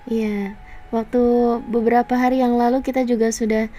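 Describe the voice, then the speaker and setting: A woman with an Indonesian accent chatting casually and playfully on a game livestream, giggly and quick to react. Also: on the high side, flat delivery, mellow, a little hiss or hum.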